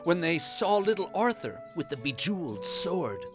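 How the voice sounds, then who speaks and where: A storyteller reading a scripted children's bedtime story in an expressive, animated way. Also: mid-range voice, normal speed, music in the background.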